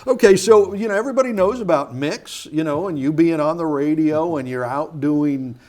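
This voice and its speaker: Man, TV host, friendly, chatty, somewhat high voice. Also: moderate pace, a little mellow. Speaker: TV show host. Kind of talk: casual interview chat.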